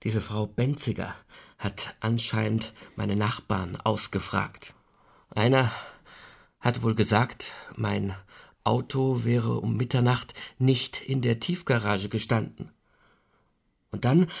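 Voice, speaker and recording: An actor playing an earnest, troubled man under suspicion in a radio drama, with a husky voice and insistent delivery. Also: medium pitch, slow, a little mellow, exaggerated voice.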